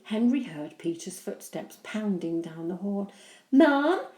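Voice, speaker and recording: A woman reading a children's story aloud with animated, deliberate, expressive narration. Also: lowish voice. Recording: echoey room.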